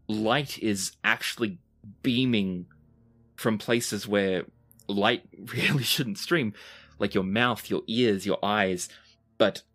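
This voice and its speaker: A game master narrating a tabletop roleplaying game in descriptive, dramatic phrases with deliberate timing. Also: mid-range voice, a bit bright.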